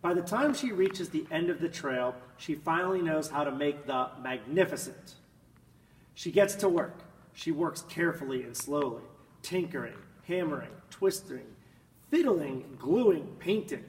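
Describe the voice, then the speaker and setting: A man reading a children's picture book aloud in an expressive, dramatic manner, drawing out words and swelling with emphasis. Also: on the high side, measured pace. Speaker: storybook reader. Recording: echoey room, distant mic, faint noise.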